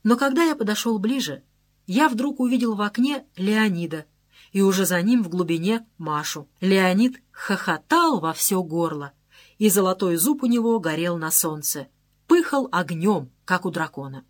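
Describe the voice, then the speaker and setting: A woman reading an audiobook aloud in a lively, conversational first-person storytelling manner. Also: medium pitch, moderate pace. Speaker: audiobook narrator. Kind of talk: scripted first-person narration.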